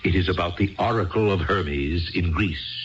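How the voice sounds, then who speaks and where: An actor playing a stuffy, condescending, bookish husband in a 1970s radio drama, pedantic, sarcastic and coldly impatient. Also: male voice, deep voice, normal speed, dull audio, soft background music, close to an everyday voice. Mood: lofty, lecturing. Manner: pedantic, sarcastic, clipped precise wording.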